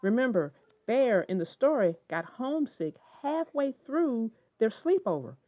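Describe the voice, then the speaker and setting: A woman's voice: on the high side, big pitch swings, unhurried, no echo. A woman narrating and hosting a children's read-aloud, telling and discussing the story expressively. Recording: dull lo-fi sound, soft guitar music.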